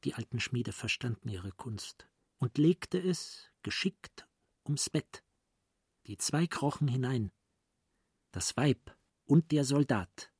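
A man narrating a radio play as a literary storyteller, in a deliberate, dramatic manner. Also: mid-range voice, animated, darkish tone, husky, dry recording, studio quality.

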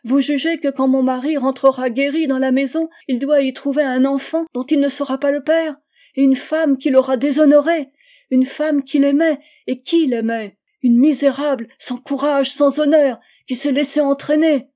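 A woman reading the part of a desperate, ashamed young married woman, in a high, anguished pleading voice. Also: steady tone, normal speed, mellow, natural voice. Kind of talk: audiobook dialogue reading.

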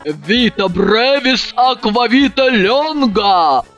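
A woman voicing a brash, hard-drinking woman in a story, who declaims in a booming, unflappable way. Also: expressive, slow, a bit bright, faint music behind. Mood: solemn. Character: brash hard-drinking woman solemnly spouting Latin toasts.

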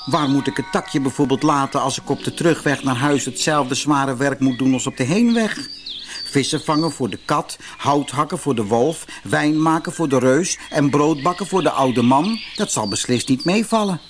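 A man's voice: medium pitch, animated, normal speed, faint music behind, lightly acted. A man voicing an earnest, tender and somewhat anxious young boy in a storybook. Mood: worried.

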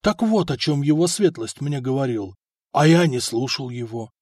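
A man's voice: mid-range voice, expressive, moderate pace, a little mellow, no room sound, clean studio sound, close to an everyday voice. A man voicing a burly, proud blacksmith who blusters at his wife in a storybook reading. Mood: awed murmuring. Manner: gruff, blustering.

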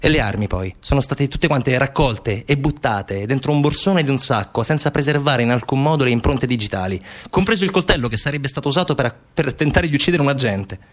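A man's voice: medium pitch, animated, brisk, few pauses. A man performing a theatrical narrative monologue as a stage actor, with an ironic, questioning manner. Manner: rhetorical questions, ironic, acting out quoted replies.

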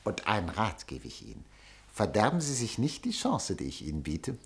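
A man's voice: lowish voice, huge pitch swings, normal speed. A man acting a pushy, persuasive newspaperman in a radio play, speaking with insistent, theatrical delivery. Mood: brisk, persuasive. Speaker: radio drama actor.

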